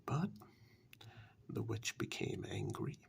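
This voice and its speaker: A man playing a sly, condescending warlock in a soft-spoken ASMR dark fairytale role-play, patronising and deliberate, with long pauses. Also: on the low side, lively intonation, exaggerated voice. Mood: reproachful. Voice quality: soft, breathy half-whisper with gravelly creak.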